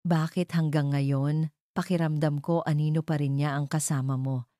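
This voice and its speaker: A woman's voice: deep voice, fairly even intonation, moderate pace, warm tone, no room sound, clean studio sound, close to an everyday voice. A woman voicing an insecure, demanding young mistress in a romance drama, pleading and indignant. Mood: hurt, frustrated.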